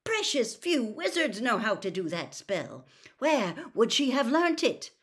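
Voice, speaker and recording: A woman's voice: on the high side, very animated, measured pace, voice pushed up. A woman voicing a reasonable but indignant male wizard in an audiobook, protesting firmly. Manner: firm, protesting.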